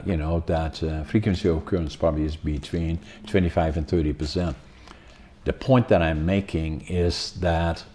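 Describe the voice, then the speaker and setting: An older podcast host with a Dutch accent, English learned later in life. His voice sits very low and dark. He talks in a loose, rambling way, full of "uh" and false starts. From Netherlands. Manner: rambling, many fillers and restarts. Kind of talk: casual interview talk.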